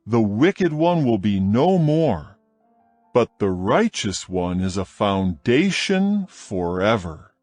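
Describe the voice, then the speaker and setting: A man reading proverbs from scripture in a slow, measured, solemn manner as a studio voice-over. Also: mid-range voice, a little mellow, no echo. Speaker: scripture reader.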